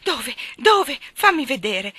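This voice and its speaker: A woman's voice: medium pitch, wildly swooping pitch, slow, vocal fry, sharp tone, husky, dry recording, exaggerated voice. A woman reader voicing a fussy, haughty, wailing lady who dotes on her dog in a children's storybook. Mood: breathless eagerness. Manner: wailing, indignant, theatrical. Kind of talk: children's storybook character acting.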